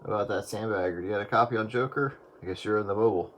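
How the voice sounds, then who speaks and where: A man's voice: fairly low pitch, rapid-fire, muffled recording. A man making casual chatter as an operator on a two-way radio. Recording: noisy two-way radio with heavy static.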